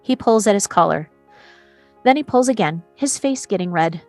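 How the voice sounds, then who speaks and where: A woman's voice: medium pitch, expressive, moderate pace, a little mellow, soft background music. A woman reads screenplay stage directions as scripted narration, setting scenes and describing action.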